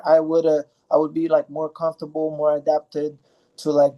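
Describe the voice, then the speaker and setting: Soft-toned Brazilian-born man chatting. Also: a little high, poor audio. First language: Portuguese. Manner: rambling, many fillers and restarts. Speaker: interviewee, fighter. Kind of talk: casual interview answers.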